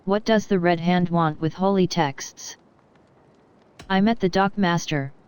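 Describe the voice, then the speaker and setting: A woman's voice: on the low side, unhurried, a little mellow, smooth. A woman speaking.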